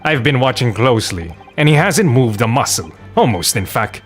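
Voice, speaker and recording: A man's voice: mid-range voice, sing-song, moderate pace, faint music behind, natural voice. A man voicing a pompous, theatrical, eccentric detective from a video game, declaiming grand deductions with dramatic flourishes. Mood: grandly confident. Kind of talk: theatrical read-aloud of video game dialogue.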